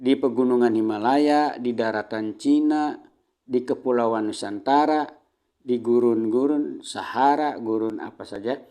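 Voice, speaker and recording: A man with an Indonesian accent giving an Islamic sermon as a prepared lecture, teaching in measured phrases and often clearing his throat. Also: mid-range voice, dark tone. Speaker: religious lecturer.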